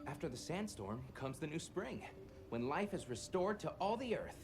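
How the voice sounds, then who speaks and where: A man's voice: on the high side, very animated, moderate pace. A man playing a sincere, hospitable villager with a formal way of talking in a video game. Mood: earnest, hopeful. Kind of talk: video game cutscene acting. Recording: music behind. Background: music.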